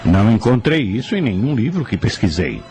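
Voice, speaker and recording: A man's voice: on the low side, very animated, fairly quick, darkish tone. A man playing an inquisitive scholar in a radio drama, earnestly asking questions about the past. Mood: curious. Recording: music under the voice.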